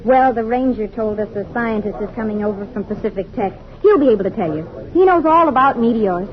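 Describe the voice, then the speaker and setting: A woman's voice: fairly high pitch, animated, a little fast, close to an everyday voice. An actress playing an eager, assertive young educated woman in an American radio drama, earnest and articulate. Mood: eager, admiring. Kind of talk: mid-century American radio drama acting. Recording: dull old-time radio recording.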